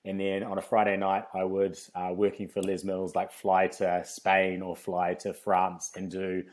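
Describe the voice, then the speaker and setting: Male, New Zealand accent, low pitch, chatty, casual interview storytelling. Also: completely flat delivery, unhurried. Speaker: podcast guest, fitness company founder.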